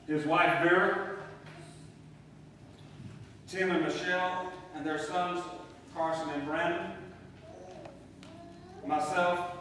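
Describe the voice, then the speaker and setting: Slow-speaking man in an echoing church. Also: high voice, fairly even intonation, pushed and bright. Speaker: eulogist, son of the deceased. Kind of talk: read memorial eulogy. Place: church sanctuary. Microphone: distant microphone in a large room. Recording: distant mic, echoey hall, faint noise.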